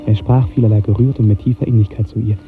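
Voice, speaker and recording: A man's voice: lowish voice, steady tone. Lyrical male narrator on vintage radio. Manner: lyrical, measured, formal diction. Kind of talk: literary narration in 1950s German radio drama. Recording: tinny 1950s radio recording, music behind.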